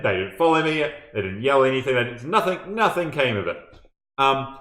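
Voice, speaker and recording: A man's voice: mid-range voice, a bit bright. A man with a New Zealand accent telling a story to his viewers in a laid-back, self-mocking way. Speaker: storyteller and channel host. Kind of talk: casual spoken storytelling. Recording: echoey room.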